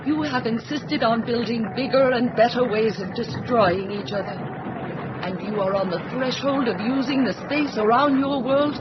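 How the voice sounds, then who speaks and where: A calm alien visitor, played by an actor in an old-time radio drama, lecturing humanity in measured, formal phrases with lofty superiority. The sound is muffled, with a loud engine rumble underneath. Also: lightly acted. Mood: calm, ominous.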